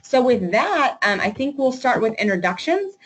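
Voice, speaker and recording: A woman's voice: on the high side, very animated, moderate pace. A woman hosting a webinar as associate director, friendly and loosely organized, with fillers.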